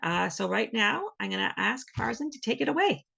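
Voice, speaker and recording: A woman opening an online library event as host, friendly and a little halting, with many fillers. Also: a little high, very animated, gravelly, sharp tone, slightly rough. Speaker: host, library program manager. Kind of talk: event introduction. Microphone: video call.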